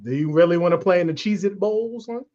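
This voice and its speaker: A man's voice: high-pitched, quick pace, a little mellow, dull audio. A man co-hosting a casual sports podcast over a video call, laid-back and slangy. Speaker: podcast co-host.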